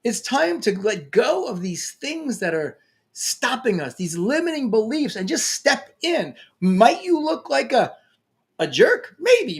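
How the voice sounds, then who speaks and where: Lively New York man giving a pep talk. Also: very high-pitched, gravelly. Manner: energetic, emphatic, playful. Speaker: LinkedIn coach. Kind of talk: motivational talk to camera.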